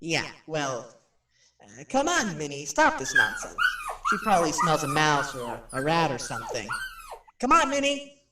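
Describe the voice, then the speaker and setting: A man's voice: fairly high pitch, huge pitch swings, moderate pace, far from the mic, heavy background noise. An actor playing a skeptical, flippant radio personality who chattily narrates his visit to a haunted house in a radio drama. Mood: impatient, narrating. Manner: chatty, narrates what he sees, hesitant asides. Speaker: radio host. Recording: roomy echo, off the microphone.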